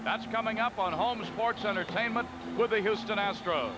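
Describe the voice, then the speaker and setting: A man calling baseball play-by-play in a calm, conversational way, reading out stats between pitches. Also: moderate pace. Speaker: play-by-play announcer. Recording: broadcast booth over loud crowd noise. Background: stadium crowd ambience.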